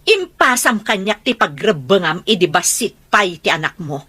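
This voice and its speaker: An angry foster mom in a radio play, scolding hard, bright voice, big pitch swings. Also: female voice, very animated, moderate pace, husky, exaggerated voice. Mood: furious. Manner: confrontational, scolding. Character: fierce protective foster mother, woman, middle-aged. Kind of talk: Ilocano radio drama acting.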